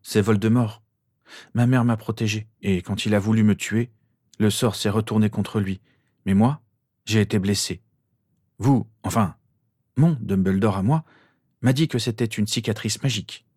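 A man's voice: lowish voice, moderate pace, pauses often, a little mellow, dry recording, lightly acted. A man voicing an earnest, wounded teenage boy wizard in an audiobook, with a defensive manner.

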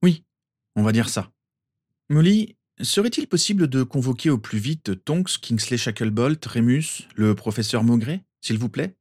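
A man reading aloud and voicing an earnest, good-humoured young wizard, with a husky voice. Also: medium pitch, lively intonation, a little slow, darkish tone, no echo, pitched above the performer's own voice. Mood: earnest, amused.

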